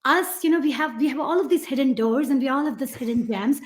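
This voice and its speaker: A middle-aged woman with a Pakistani accent, a guest on a podcast, telling her story in a rambling, animated way with many fillers. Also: sits high, fast. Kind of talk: podcast interview.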